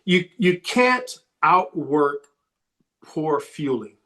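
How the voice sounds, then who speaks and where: A man speaking as a guest coach in a podcast interview, pressing each point hard and repeating it for emphasis. His voice sits a touch higher than most men's and is slightly hoarse, worn from talking. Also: sing-song, drawn-out words, pushed and bright. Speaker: sports recruiting coach, guest.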